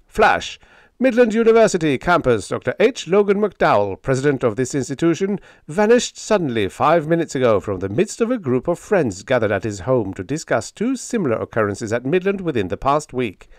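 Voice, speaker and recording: An old-time radio announcer with a clear, crisp and ominous delivery, as a male narrator puts him on, raised and louder than the narration. It is a dry, close recording with a lively rise and fall. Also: mid-range voice, a little fast, dry recording, pitched above the performer's own voice, close to an everyday voice. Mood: urgent. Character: male radio announcer reading an urgent news bulletin.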